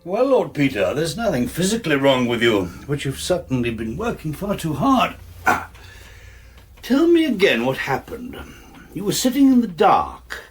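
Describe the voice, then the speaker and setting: Radio drama consultant: English, formal and clinical, roughened husky tone, strongly modulated delivery, cool authority. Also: male voice, fairly high pitch, huge pitch swings, soft background music, exaggerated voice. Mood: probing, clinical. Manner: measured, formal, clinical, probing. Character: eminent, authoritative male nerve specialist, coolly controlled. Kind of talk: British radio drama acting.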